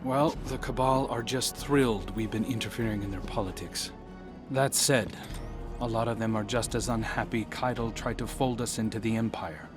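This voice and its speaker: An actor voicing a dry, wry young male scout in conversational game dialogue with soft music underneath. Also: lowish voice, fairly even intonation, moderate pace, natural voice.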